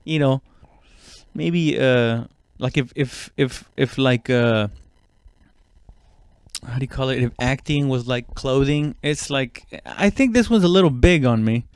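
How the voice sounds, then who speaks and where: A man's voice: mid-range voice, measured pace, darkish tone, clear, resonant tone, no echo. A man giving a casual, rambling comedic monologue, self-deprecating and swearing freely. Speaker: comedian and podcast host.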